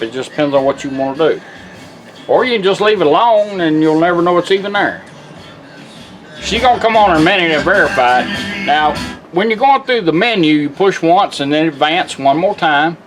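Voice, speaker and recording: A man's voice: a little high, a bit bright, low-quality recording. A man giving a casual, hands-on product demonstration, instructing step by step in a folksy, plain-spoken way. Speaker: radio restorer and demonstrator.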